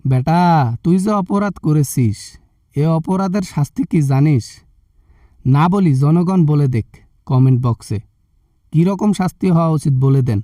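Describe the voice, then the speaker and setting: A man's voice: fairly high pitch, animated, moderate pace, long pauses, dark tone, dry recording, studio quality, distant mic, close to an everyday voice. A man with a Bangladeshi accent playing a stern religious party leader in a comic phone skit, scolding and preaching threats. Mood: threatening.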